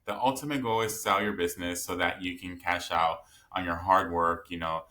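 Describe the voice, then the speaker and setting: A man's voice: sits low, steady tone, moderate pace, bright, forceful tone. A man hosting a business podcast, chatty and rambling, telling stories with many fillers. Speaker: podcast host. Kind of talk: conversational interview.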